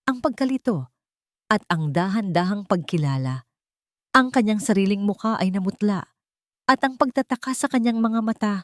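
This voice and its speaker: A woman with a Filipino accent reading scripted, dramatic love-story narration in a measured storytelling manner. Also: medium pitch, dry recording, studio quality.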